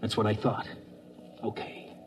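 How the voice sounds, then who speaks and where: A man's voice: unhurried, natural voice. A man playing a sober, challenging colonial executive in an old-time radio science fiction drama, level-headed and authoritative. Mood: skeptical, challenging. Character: sober, skeptical male colonial executive in command. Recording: noisy vintage radio drama recording.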